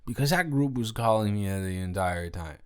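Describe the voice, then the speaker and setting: A man's voice: lowish voice, expressive, brisk, clear, resonant tone, natural voice. A young man playing a tipsy, goofy college student roommate, rambling and stammering as he talks directly to the listener in an improvised audio roleplay. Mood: silly, playful. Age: young adult. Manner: rambling, stammering drunken speech with giggles.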